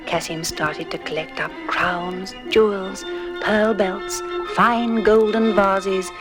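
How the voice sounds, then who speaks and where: A woman's voice: mid-range voice, fairly even intonation, slow pace. A woman narrating a children's story record in a theatrical, suspenseful, scripted style. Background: music.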